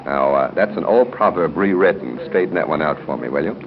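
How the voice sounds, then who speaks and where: A man's voice: rumbling low, lively intonation, brisk. An American man hosting a live 1930s radio quiz, ad-libbing and joking genially with contestants. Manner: genial and joking, chuckles between questions. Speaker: quiz show host. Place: hotel room at a club luncheon. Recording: muffled, noisy 1930s radio broadcast recording.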